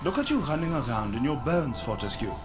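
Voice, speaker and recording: A man's voice: medium pitch, big pitch swings, brisk, lightly acted. Man playing a pompous, snobbish centaur prince. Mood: pompous disdain. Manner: pompous, condescending. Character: haughty aristocratic centaur prince, ancient and snobbish. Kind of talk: video game cutscene acting. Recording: game music behind the voice.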